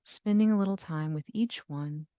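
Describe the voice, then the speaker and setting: A woman leading a guided yoga nidra relaxation, giving gentle, soothing instructions with long pauses. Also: low voice, smooth, dry recording. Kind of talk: guided meditation.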